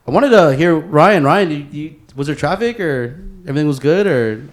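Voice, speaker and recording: A man's voice: a little high, lively intonation, moderate pace. Casual, slangy man hosting a podcast. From San Francisco Bay Area. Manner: slangy, heavy swearing, says bro and dude often. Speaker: podcast host.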